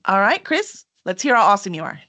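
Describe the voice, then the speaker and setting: A woman's voice: mid-range voice, very animated, fast talker, bright, forceful tone. A woman hosting an event in a casual, chatty way. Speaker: host, book publisher.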